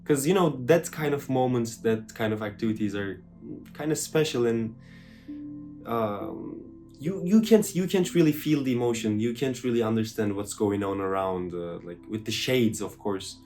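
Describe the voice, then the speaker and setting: A man's voice: on the low side, animated, normal speed, darkish tone, faint music behind. A young man with a Turkish accent, answering interview questions thoughtfully, with many fillers and restarts. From Turkey.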